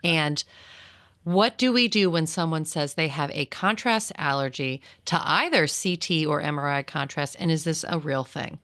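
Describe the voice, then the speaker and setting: A woman with a notably low voice hosts a podcast interview. She talks conversationally as she puts questions to her guest, and the sound is dry and free of room echo. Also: normal speed, a bit bright. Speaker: podcast host.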